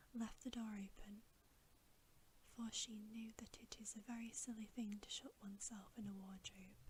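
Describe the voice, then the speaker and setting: A woman whispers a bedtime story very softly and breathily, close to the microphone. She reads slowly and gently in a soothing ASMR storytelling style. A faint noise sits behind her voice. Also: mid-range voice. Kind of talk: ASMR whispered audiobook reading.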